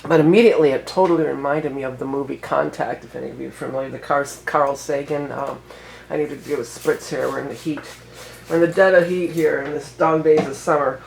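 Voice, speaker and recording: A woman's voice: sits low, fairly even intonation, fairly quick, gravelly, darkish tone, slight background noise, poor audio. A woman astrologer still getting over a head cold, giving a casual, rambling forecast full of fillers and self-corrections.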